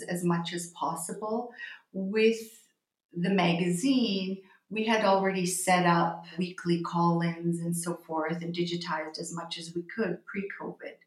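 A woman's voice: on the low side, steady tone. Thoughtful Canadian woman in an echoey room. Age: 40s. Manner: reflective, pausing and restarting phrases. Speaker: guest, magazine editor. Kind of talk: interview conversation. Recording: roomy echo.

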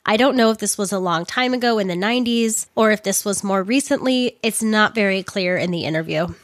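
A woman, somewhat hoarse from losing her voice, narrating a crime story with earnest personal commentary. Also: mid-range voice, no room sound, studio quality.

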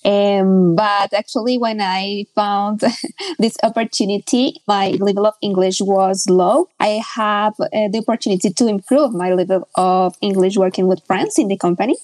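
A woman's voice: mid-range voice, a little mellow, no echo, poor audio. A Colombian woman in her twenties, a native Spanish speaker, speaking careful English with fillers on a video call. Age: 20s. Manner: careful, unhurried, frequent fillers. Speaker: closing coordinator.

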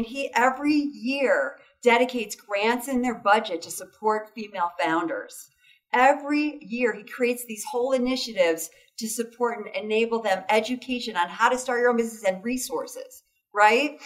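Higher-pitched woman, eager and chatty, lots of "right?", sounds a bit far from the mic. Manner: enthusiastic, frequent "right?" and "you know". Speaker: guest, foundation founder. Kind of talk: interview conversation.

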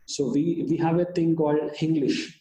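An Indian-accented man, a native Hindi speaker, answers interview questions in a halting way, full of restarts and fillers. His voice is mellow and dark, and the room gives it a noticeable echo. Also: on the high side, fairly even intonation. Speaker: startup founder, guest. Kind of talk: interview conversation.

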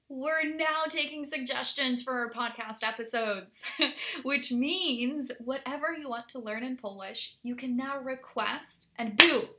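A woman hosting a language lesson podcast, upbeat and energetic as she introduces the episode. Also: on the high side. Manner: upbeat and energetic in the intro, slow and deliberate when modelling phrases. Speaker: podcast host and Polish teacher.

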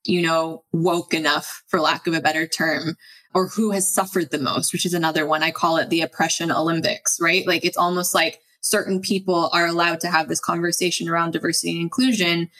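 A woman from the United States speaking as a podcast guest. She sounds earnest and reflective, with light laughs along the way. Her voice is fairly low yet crisp and bright. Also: flat delivery, fairly quick. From Los Angeles, United States. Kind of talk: casual interview conversation.

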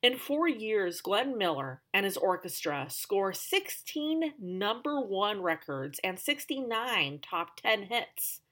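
A woman narrates as a podcast host. She mixes scripted storytelling with chatty, conversational asides and leans on key words for dramatic emphasis. Her voice sits at a middle pitch for a woman. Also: very animated, slow pace, few pauses, a bit bright.

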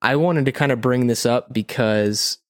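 A man's voice: mid-range voice, moderate pace, dry recording, clean studio sound. An American man co-hosting a podcast in casual, informal conversation, with some mild swearing. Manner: informal, mildly profane. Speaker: podcast co-host. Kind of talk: casual chat.

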